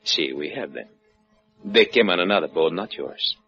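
Deep, courtly Spanish-accented villain. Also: male voice, animated, sharp tone, full character voice. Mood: quietly menacing. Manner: courtly, unhurried, faintly amused menace. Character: suave, menacing Cuban-based revolutionary gunrunner, falsely courteous. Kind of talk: 1950s radio adventure acting. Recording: dull 1950s radio recording, music behind.

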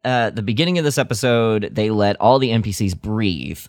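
A man co-hosts a podcast in loose, casual conversation. His voice is fairly low but swings about in lively fashion. He starts and stops as he works out his point, in a very dry recording. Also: fairly quick, no room sound. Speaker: podcast co-host. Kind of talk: casual chat.

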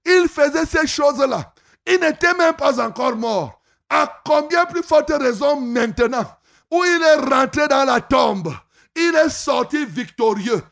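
A man preaching a revival sermon, shouting and stretching his phrases into a sing-song chant. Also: very high voice, animated, a little slow, creaky.

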